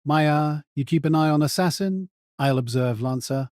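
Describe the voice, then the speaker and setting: A man's voice: a little high, moderate pace, darkish tone, no room sound, studio quality, natural voice. A man playing a cold, calculating sniper who gives terse, controlled instructions. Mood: focused, calculating.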